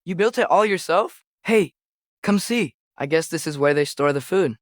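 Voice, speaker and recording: A man's voice: on the high side, lively intonation, moderate pace, dry recording, studio quality, close to an everyday voice. A young male actor playing an earnest, eager teenage boy adventurer in a video game, speaking conversationally with small hesitations. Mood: curious, eager. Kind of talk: video game character acting.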